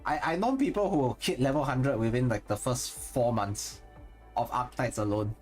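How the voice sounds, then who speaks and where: Man, Singaporean accent, casual stream chat, rambling self-corrections, average pitch, soft music behind. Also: moderate pace. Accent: Singaporean English. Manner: rambling, thinks aloud and corrects himself mid-sentence. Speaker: streamer and content creator.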